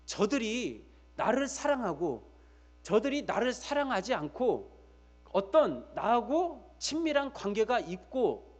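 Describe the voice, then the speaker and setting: Animated, high-pitched male preacher. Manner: emphatic, repeats key phrases for weight; addresses listeners directly with rhetorical questions. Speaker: pastor preaching to a congregation. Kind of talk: church sermon.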